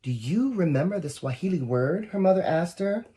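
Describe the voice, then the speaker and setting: Expressive storybook reader, lively pitch. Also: moderate pace. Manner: expressive, addresses young listeners directly. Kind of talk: children's picture book read-aloud with asides.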